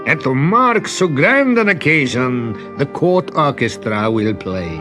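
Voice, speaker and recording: A man voicing a haughty, bullying prince in a storybook, quick to anger, with imperious commands. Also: mid-range voice, sing-song, loud music behind the voice, voice pushed up. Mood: smug. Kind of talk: storybook character acting.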